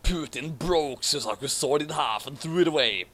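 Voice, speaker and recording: A man's voice: fairly high pitch, moderate pace, a bit bright. A man reading a story aloud with casual, amused commentary. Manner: amused, prone to laughing. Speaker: reader of a fan fiction commentary.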